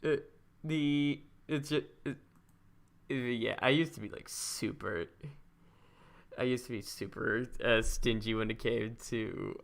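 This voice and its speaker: A man hosting a casual podcast interview, speaking in a halting, stammering, rambling way. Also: mid-range voice, vocal fry. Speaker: podcast host.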